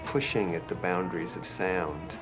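A man giving a thoughtful, measured documentary interview. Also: sits low, dull audio, over music.

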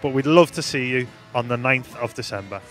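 A man's voice: mid-range voice, brisk, fairly bright tone, faint music behind. A young man presenting church news announcements in an upbeat, playful way, speaking into a handheld mic in a studio. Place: studio in front of a green screen.

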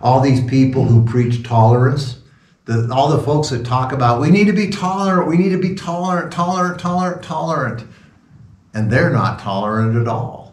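A man in his sixties speaks as a pastor in an informal, rambling sermon style with a sarcastic edge. His medium-pitched voice is recorded at some distance from the mic, with clear room echo. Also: moderate pace. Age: 60s. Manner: sarcastic, rambling, chuckling asides. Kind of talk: informal sermon talk with asides. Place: small wood-paneled room indoors.

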